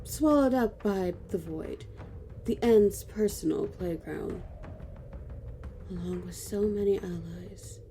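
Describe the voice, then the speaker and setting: Actress as grave elven noblewoman, fantasy drama: deeply mellow, measured delivery, ambient noise behind. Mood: somber, measured. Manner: measured, somber. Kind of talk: fantasy audio drama narration. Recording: clear background noise and ambience.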